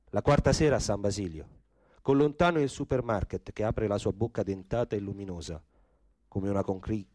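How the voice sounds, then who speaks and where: Guy doing theatrical story narration, lowish voice, very dry sound, measured delivery. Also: darkish tone, no echo. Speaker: stage actor narrating. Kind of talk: theatrical literary narration, radio play.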